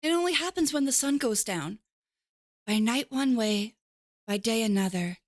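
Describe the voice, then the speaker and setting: A woman voicing a young princess under a curse, speaking softly and earnestly. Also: a little high, expressive, moderate pace, no room sound, studio quality, lightly acted. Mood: sorrowful. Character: young princess cursed to turn ogre by night.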